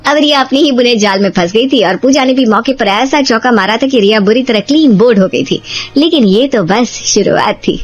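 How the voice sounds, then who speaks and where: A woman's voice: fairly high pitch, a little fast. A woman narrating a dramatized family cartoon story as the storyteller. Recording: music behind the voice. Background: background music.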